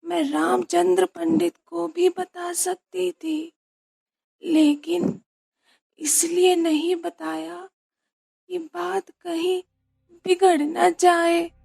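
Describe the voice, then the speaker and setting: Woman playing a slow, creaky, high-voiced grieving widow. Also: flat delivery, darkish tone, no echo, soft background music, heavily acted, low-quality recording. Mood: subdued, careful. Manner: slow, halting, restrained. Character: grieving village landowner's widow, patient and resolute.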